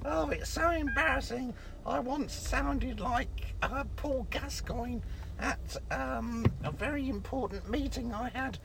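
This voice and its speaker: A man's voice: very high voice, slow, vocal fry, noisy background, full character voice. A man putting on a camp, dotty old celebrity with a wandering Birmingham accent, rambling theatrically through a comic voicemail. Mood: camp, embarrassed. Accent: Brummy (Birmingham), wandering. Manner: theatrical, rambling, mock-scandalised.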